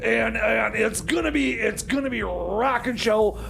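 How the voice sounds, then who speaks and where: Radio comedy role: excitable, scatterbrained time machine mechanic, extremely high strained pitch, gravelly creak, stammering and pushy. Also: male voice, normal speed, a little hiss or hum, exaggerated voice. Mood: insistent, pleading. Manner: stammering, repetitive, pleading.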